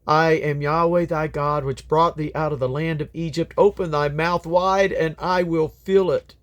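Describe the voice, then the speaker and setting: Higher-pitched man reading scripture aloud. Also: fairly even intonation, normal speed. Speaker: Bible reader.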